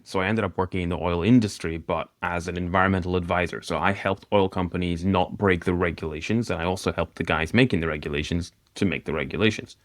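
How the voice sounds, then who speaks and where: A man in his thirties from Northeast Scotland, with a mixed mid-Atlantic accent with a light Scottish edge, talking casually as a podcast guest, self-deprecating and quick to laugh. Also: low voice, fairly even intonation, no echo, clean studio sound. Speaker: guest mentor.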